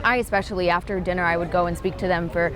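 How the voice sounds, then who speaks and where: A woman's voice: lowish voice, fairly even intonation, fast talker. A young woman with an American accent chatting in an interview, often restarting her sentences. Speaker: actress interviewee. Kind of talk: casual interview chat. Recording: noisy crowd behind. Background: crowd chatter.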